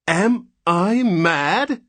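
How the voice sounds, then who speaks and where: A man's voice: fairly high pitch, huge pitch swings, a little slow, a bit bright, slightly rough, no room sound, pitched above the performer's own voice, heavily acted. A man voicing a frantic, horrified father in a storybook reading, who thunders his outbursts.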